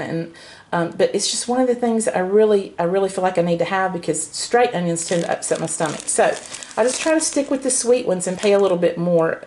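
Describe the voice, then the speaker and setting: An older woman speaks in a middle-pitched voice. She chats casually and explains as she goes, in the manner of a home vlogger. She is recorded indoors from behind a handheld camera. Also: a little mellow, a little hiss or hum. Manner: chatty, explanatory. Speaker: homemaker vlogger. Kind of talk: casual grocery haul chat. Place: indoors at home, by a dining table.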